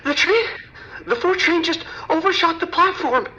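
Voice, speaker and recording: A woman acts out a terrified commuter calling for help in an audio drama. The voice is very high and sharp, breaking into stammers and pleas, with slightly dull lo-fi sound. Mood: panicked. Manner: halting, stammering, pleading. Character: terrified subway commuter calling emergency services.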